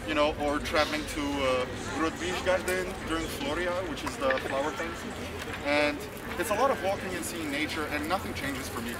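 A man raised in Africa, speaking relaxed and mildly contrarian opinions as a street interviewee. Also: on the high side, a little fast. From Belgium, grew up in Africa. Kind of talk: casual opinion chat. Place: outdoors on a city street. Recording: distant mic with loud street noise. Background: crowd and traffic.

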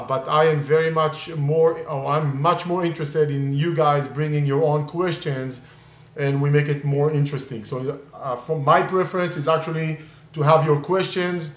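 A man whose first language is Hebrew hosts a casual online talk on real estate investing. He talks in a slightly raised voice, stops and restarts often, and fills gaps with "uh" and "um". The sound is roomy and echoey. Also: a little high. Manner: halting, many fillers and restarts. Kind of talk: live online session, casual explanation. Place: California.

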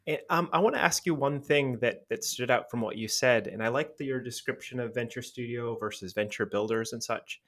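A man's voice: medium pitch, a little fast, few pauses, a bit bright. A man hosting a podcast, interviewing a guest in an upbeat, effusive way. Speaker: podcast host.

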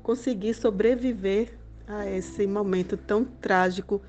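A woman's voice: medium pitch, fairly even intonation, measured pace, darkish tone. A woman with a Brazilian accent explaining a project in an earnest, semi-spontaneous way, as a coordinating professor.

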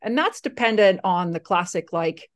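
A woman's voice: mid-range voice, expressive, moderate pace. A woman from Slovakia, Slovak her first language, telling her story casually in an interview with many fillers and restarts. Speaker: guest.

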